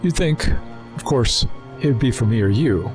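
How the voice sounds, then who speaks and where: A man's voice: mid-range voice, animated, moderate pace, faint music behind, close to an everyday voice. An actor playing a sly, talkative male alien time traveller in an audio drama, with a wry, mocking, chatty manner. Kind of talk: full-cast audio drama acting.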